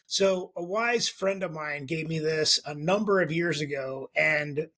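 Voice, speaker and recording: A man's voice: a little high, a little slow, pushed and bright. A middle-aged man co-hosting a podcast, telling stories in a reflective, easygoing way. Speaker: podcast co-host. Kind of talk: casual advice chat.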